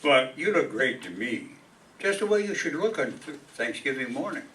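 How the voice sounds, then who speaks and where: A man's voice: medium pitch, big pitch swings, moderate pace, fairly bright tone, distant mic, a little hiss or hum. A man playing a polite, earnest and slightly hesitant bachelor neighbor in an old-time radio sitcom. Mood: bashful.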